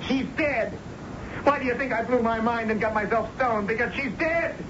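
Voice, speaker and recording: An actor playing an angry, grieving young son, heated and accusatory, in 1970s American radio drama style. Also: male voice, extremely high pitch, moderate pace. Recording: muffled, noisy old radio broadcast.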